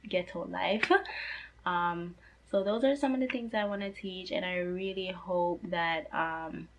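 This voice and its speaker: Slightly hoarse young woman vlogging. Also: fairly low pitch, fairly even intonation, a little slow, a little hiss or hum. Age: 20s. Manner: earnest, chatty, leans on "like" and "you know". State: slightly hoarse, losing her voice. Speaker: personal finance vlogger. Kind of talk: casual talk to camera.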